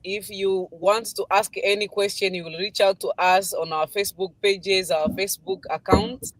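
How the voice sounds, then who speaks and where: A woman speaks as a guest on a live stream. Her pitch is middling and the sound is dry, and she talks in a steady, slightly formal way. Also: moderate pace, no room sound, rough recording. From Congo. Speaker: guest business partner.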